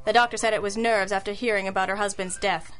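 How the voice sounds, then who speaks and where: A woman playing a sharp, wry private detective in an old-school radio drama, crisp and matter-of-fact, with compassion underneath. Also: medium pitch, steady tone, fast, faint music behind, lightly acted.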